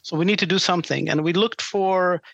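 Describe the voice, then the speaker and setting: A man whose first language is Arabic, answering interview questions conversationally as a franchise sales executive and often pausing to find his words. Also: high voice, gravelly, a bit bright, dry recording, muffled recording.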